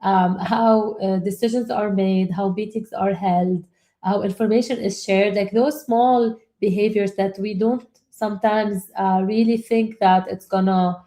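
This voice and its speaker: A woman co-hosting a webinar, talking casually and haltingly, with frequent restarts and repeated words. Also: medium pitch, fairly even intonation, warm tone. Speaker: webinar co-host.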